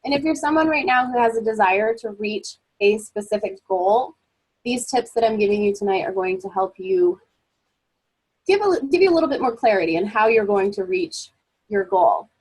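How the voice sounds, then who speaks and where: A woman's voice: medium pitch, lively intonation, normal speed, darkish tone, breathy edge, poor audio. A woman presenting a motivational webinar as a life coach, encouraging and upbeat, sounding a little froggy as she gets over a cold.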